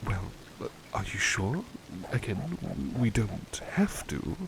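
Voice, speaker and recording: A man's voice: lowish voice, sing-song, takes it slowly, exaggerated voice. A man playing a devoted, mild-mannered butler in an ASMR roleplay, speaking politely and formally, with hesitant, musing phrasing. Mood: pleased, bashful. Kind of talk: ASMR roleplay, personal attention. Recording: clearly noisy ambience behind voice.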